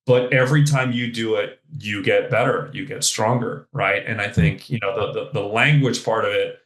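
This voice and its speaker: A man speaking as a podcast guest, talking in an animated, emphatic way and swearing casually. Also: fairly low pitch, normal speed. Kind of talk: casual interview talk. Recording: roomy echo.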